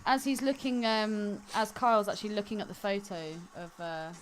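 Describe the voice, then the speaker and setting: Lively woman, teasing banter. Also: medium pitch, normal speed, faint music behind. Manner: teasing, giggly, swears. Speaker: podcast co-host. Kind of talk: casual film chat.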